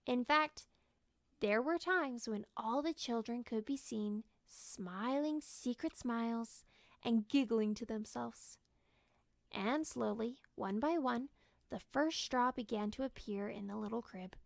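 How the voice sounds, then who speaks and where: Expressive woman reading a storybook, higher voice. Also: slow pace, vocal fry, no room sound. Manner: animated, acts out character lines. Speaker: storybook reader. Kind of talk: expressive children's read-aloud narration.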